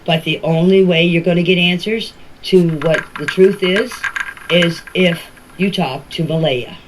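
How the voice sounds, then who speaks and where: A woman's voice: lowish voice, fairly even intonation, a little slow. An older woman with a Canadian Maritime accent speaks informally, answering questions and often repeating and rephrasing herself. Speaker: family member speaking about a case. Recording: noisy amateur voice clips with clicks.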